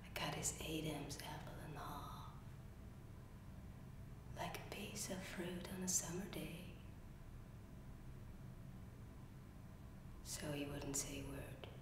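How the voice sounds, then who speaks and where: Haunted, volatile young female played by a woman in audition, halting and intense, whispers to shouts, very reverberant room. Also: deep voice, fairly even intonation, pitched below the performer's own voice. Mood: eerie, hushed. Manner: slow, halting, breathy whispering that breaks into shouting. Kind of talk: dramatic audition monologue. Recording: very echoey room, faint noise.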